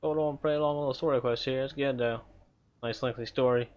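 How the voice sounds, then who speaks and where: A man streaming a game and chatting casually with viewers, relaxed and rambling, chuckling often. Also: mid-range voice, fairly quick, muffled recording. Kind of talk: casual chat while gaming.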